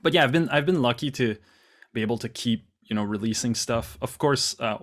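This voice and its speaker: A man from Canada, a musician guest talking casually in an interview over a video call, quick and full of restarts. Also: medium pitch. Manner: quick, restarts often, self-deprecating laughs.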